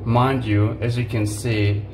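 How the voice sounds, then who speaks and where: A man in his forties giving a casual, unhurried how-to demonstration, with halting restarts, filmed on a phone at arm's length in a small laundry room. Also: medium pitch, flat delivery. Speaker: home appliance video maker. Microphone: phone camera on selfie stick at arm's length. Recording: echoey room with washing machine noise.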